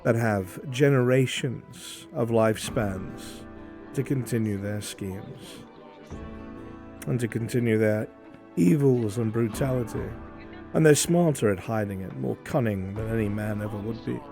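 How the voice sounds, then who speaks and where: Solemn imperial figure for fantasy roleplay, played by a man: low, mellow, measured and brooding, music underneath. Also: creaky. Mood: grave, brooding. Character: solemn, philosophical imperial man questioning a hobbit villager. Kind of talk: improvised fantasy roleplay acting. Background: background music.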